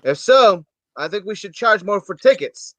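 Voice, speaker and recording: A man's voice: high voice, animated, moderate pace, fairly bright tone, no echo. A man playing a folksy, blunt small-town amateur thespian with juvenile humor, grumbling his way through a staged reading. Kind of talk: community theater staged reading.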